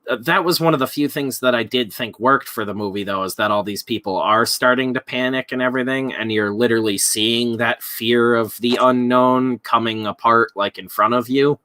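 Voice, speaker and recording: A man with a forceful, bright voice talks casually as a live stream co-host. He rambles through his thoughts with loose, profane phrasing, and the recording is dry and close, with little room sound. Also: mid-range voice, normal speed, few pauses, dry recording. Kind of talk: casual chat about films.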